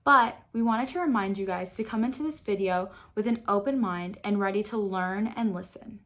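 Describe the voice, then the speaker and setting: A woman's voice: mid-range voice, normal speed, a bit bright. A young woman presenting a scripted introduction as the host of a student news show.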